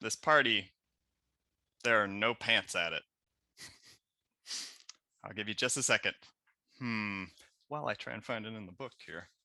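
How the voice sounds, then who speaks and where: A man in his thirties, a children's author putting a trivia question to kids, with pauses and thoughtful hums. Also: on the low side, gravelly, bright, forceful tone, dry recording, studio quality. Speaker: children's book author and illustrator. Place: small room at home. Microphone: headset.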